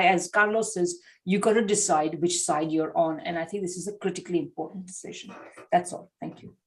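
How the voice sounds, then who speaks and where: A woman's voice: on the low side, darkish tone. A woman speaking on a panel over a video call, emphatic and rephrasing as she goes. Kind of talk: panel discussion remarks.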